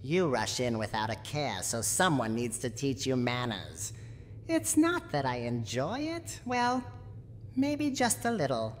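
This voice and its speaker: A woman voicing a menacing, proud, cranky old granny in a theatrical, deliberate ASMR roleplay monologue. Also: extremely low pitch, long reverb tail, a little hiss or hum, heavily acted. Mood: grudgingly fond. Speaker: roleplay voice actor.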